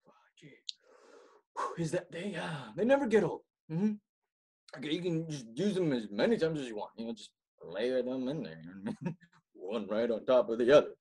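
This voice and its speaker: A man performing a theatrical comedic monologue as a pompous, melodramatic amateur writer with a husky voice and dramatic pauses. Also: a little high. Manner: smug, animated, chuckling, dramatic pauses.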